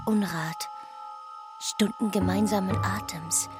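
A woman delivering poetic, dramatised literary narration in a breathy, whispery, halting and intimate manner. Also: mid-range voice, fairly even intonation. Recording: loud music under the voice.

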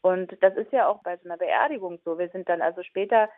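A woman's voice: lowish voice, fast talker, dry recording. A woman interviewed over the phone, explaining things in plain conversational language as a guest. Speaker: interview guest, funeral director and grief counsellor. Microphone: telephone. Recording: band-limited phone call.

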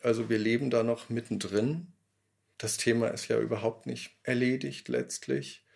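A man's voice: mid-range voice, creaky, a little mellow. A man who makes radio drama, giving a reflective interview statement.

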